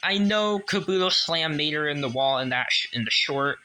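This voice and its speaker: A man giving a casual hands-on toy review as a collector, enthusiastic but halting and repetitive. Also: fairly high pitch, lively intonation, sharp tone, rough recording.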